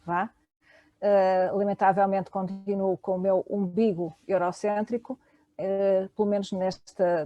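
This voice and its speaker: A woman's voice: mid-range voice, mellow, no echo. Hesitant Portuguese woman giving an academic talk. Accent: European Portuguese. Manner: hesitant, many fillers. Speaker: panel speaker. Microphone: video call.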